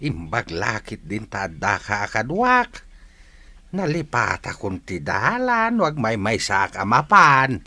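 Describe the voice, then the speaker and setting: A man's voice: fairly low pitch, huge pitch swings, slow pace, bright, forceful tone, dry recording, heavily acted. An actor with a Filipino accent playing a folksy, forgetful village grandfather in a radio comedy drama. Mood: folksy, musing. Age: older.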